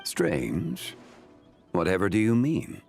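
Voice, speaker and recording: An actor playing a composed, courteous philosopher in a video game, speaking in formal, measured aphorisms. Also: male voice, on the low side, wildly swooping pitch, creaky, darkish tone, soft background music, exaggerated voice. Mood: serene. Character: composed, ageless gentleman sage, courteous and philosophical.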